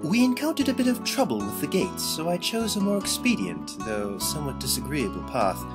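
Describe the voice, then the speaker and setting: A man's voice: a little high, huge pitch swings, fairly quick. A man acting a wry, formal noble soldier in a fantasy audio drama. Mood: courteous. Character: breezy, wry male noble soldier, loyal to his prince. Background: orchestral game music.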